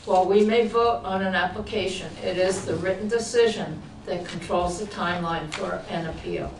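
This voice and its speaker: A woman chairs a town board meeting, reading out rules and calling votes in a formal, measured way. She sounds picked up from well away from the microphone. The room is echoey and a clear hiss sits under her voice. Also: fairly low pitch. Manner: formal, measured, with light asides. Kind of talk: reading meeting procedure aloud. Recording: echoey, distant, noisy public-access broadcast.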